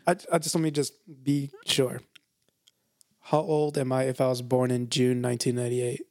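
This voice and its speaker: A man's voice: mid-range voice, little pitch movement, moderate pace, a little mellow, no echo. A man in his twenties co-hosting a podcast with casual, crude banter, rambling and hedging, swearing freely and close on the mic. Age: 20s. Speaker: podcast co-host.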